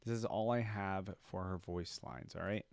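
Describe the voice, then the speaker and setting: A man's voice: sits low, steady tone, normal speed, gravelly, a little mellow, dry recording. A man giving casual reaction commentary on game voice lines, musing and thinking aloud. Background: game music and voice clips.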